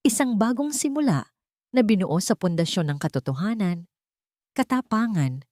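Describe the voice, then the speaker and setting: A woman's voice: lowish voice, mellow, no room sound, studio quality. A woman narrating a scripted romantic drama story as host, in an earnest, sentimental manner.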